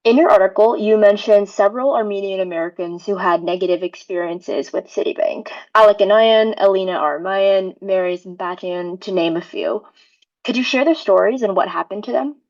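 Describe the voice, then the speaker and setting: A woman co-hosting a podcast, asking interview questions in an interested, measured way. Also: medium pitch, darkish tone, highs cut off. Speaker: podcast co-host.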